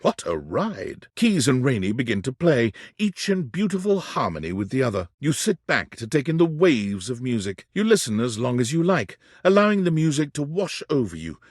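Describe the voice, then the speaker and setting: A wry, theatrical storyteller narrates a video game with a mid-pitched, slightly rough and husky voice. His intonation is very animated, rising and dropping with dramatic, deliberate phrasing. The sound is dry and close. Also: no echo. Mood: wry, relieved. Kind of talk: theatrical scripted second-person game narration.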